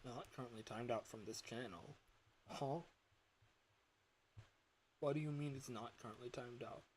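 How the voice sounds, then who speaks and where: Slow, rambling man with noise behind him. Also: mid-range voice, a little mellow. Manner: rambling, halting, thinking aloud. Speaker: livestreamer and fangame developer. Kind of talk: casual livestream chat. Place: livestream at a computer.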